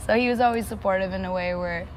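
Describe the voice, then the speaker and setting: A woman's voice: mid-range voice, fast talker. A young woman born in Armenia and raised in Los Angeles, a music artist talking casually in an interview with upbeat, slang-heavy, laid-back speech. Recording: noticeable background noise.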